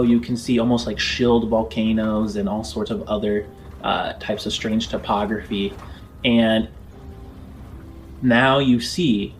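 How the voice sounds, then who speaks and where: A man narrating a tabletop roleplaying game as game master, in a measured, descriptive storytelling manner. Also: lowish voice, a little hiss or hum. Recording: music under the voice. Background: background music.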